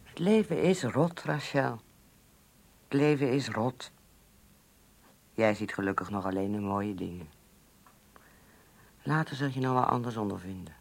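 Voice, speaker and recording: An actress playing a stern, world-weary senior clerk who speaks brusquely and bossily in a radio play. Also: female voice, rumbling low, expressive, unhurried, creaky, long pauses, darkish tone, heavily acted. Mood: bitter, weary. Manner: brusque, bossy. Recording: noticeable background noise.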